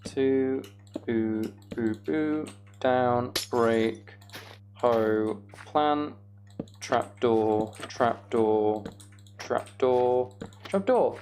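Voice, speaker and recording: A man's voice: medium pitch, drawn-out words, darkish tone. A man hosting a live gaming stream, chatting casually with viewers and muttering each step of his task to himself. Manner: muttering step-by-step self-narration of tasks. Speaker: livestream host. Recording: clear background noise, keyboard clicks. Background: computer keyboard typing and clicking.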